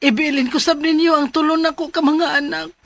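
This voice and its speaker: A woman's voice: high voice, level tone, brisk. A woman playing a desperate, battered young mother in a radio drama, pleading in a Cebuano accent. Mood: anguished pleading. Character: battered young mother, desperate, leaving her abusive husband.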